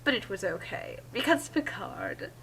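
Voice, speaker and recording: A woman's voice: medium pitch, sing-song, a little slow, creaky, a bit bright, husky, rough recording. A woman narrating a story aloud in a dramatic reading, breaking off for casual commentary.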